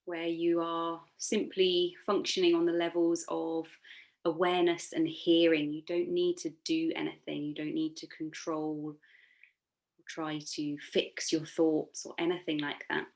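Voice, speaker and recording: A woman yoga instructor leading a guided relaxation meditation in a soothing, gently encouraging, unforced manner. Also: low voice, level tone, measured pace.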